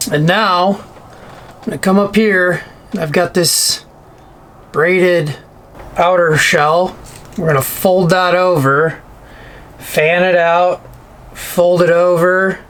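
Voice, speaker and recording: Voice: mid-range voice, fairly even intonation, takes it slowly, pauses often, fairly bright tone. A DIY vlog host giving casual, hands-on how-to narration, informally talking to themself while working. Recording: clearly noisy on-site recording, clicks.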